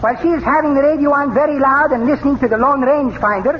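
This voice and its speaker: An actor playing a cheerful immigrant neighbor who rambles through garbled English, in 1930s radio comedy style. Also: male voice, extremely high pitch, quick pace. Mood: rambling, breezy. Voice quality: thick dialect with comically garbled words. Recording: noisy, muffled 1930s radio recording.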